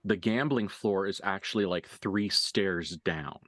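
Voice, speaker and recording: A man working as game master, improvising narration for a tabletop roleplaying game with deliberate, halting phrasing and dramatic emphasis. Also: sits low, a bit bright, no echo. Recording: dry voice over background music.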